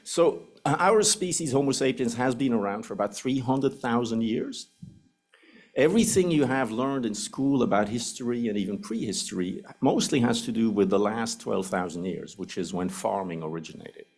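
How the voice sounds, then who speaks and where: A man's voice: mid-range voice, moderate pace, darkish tone. A middle-aged man with a Swiss accent, English not his first language, giving a public lecture in a joking, explanatory way. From Switzerland.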